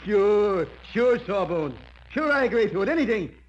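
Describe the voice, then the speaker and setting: An actor playing a brash, cynical condemned convict with tough, slangy talk in an old-time radio drama. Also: male voice, high voice, sing-song, normal speed. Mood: gleeful, manic. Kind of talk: 1940s-50s radio drama acting. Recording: muffled old radio broadcast recording.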